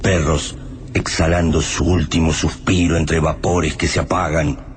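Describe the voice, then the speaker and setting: Low-voiced, grave radio drama narrator. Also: male voice, level tone, unhurried. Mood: ominous. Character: grave male narrator of a science-fiction catastrophe. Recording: loud music under the voice.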